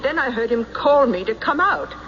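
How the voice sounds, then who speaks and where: An actress playing a distraught, defiant farm wife in an old radio drama, emphatic and quick to bristle. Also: female voice, high voice, animated, a bit bright. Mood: defensive. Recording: muffled vintage radio recording, faint noise.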